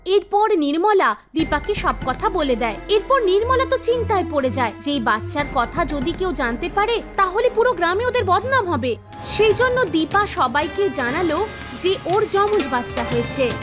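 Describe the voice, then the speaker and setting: A woman narrating a scripted animated folktale as a storyteller. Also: very high-pitched, moderate pace. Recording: background music behind voice. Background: soft music.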